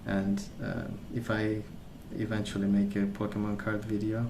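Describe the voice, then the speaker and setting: A soft-spoken man hosts ASMR, rambling in a casual, hesitant way. His voice is fairly low with a lot of creak and very little melodic movement. The recording sounds roomy, with noticeable noise behind him. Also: monotone, moderate pace. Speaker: ASMR video host.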